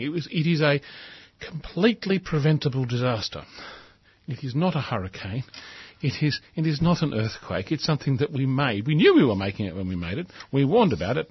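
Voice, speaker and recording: A man's voice: fairly high pitch, sing-song, a little fast, slightly rough, no echo, lo-fi sound. A man hosting a talk show, reading out a newspaper editorial and adding his own earnest, emphatic comments. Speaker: radio host reading an editorial.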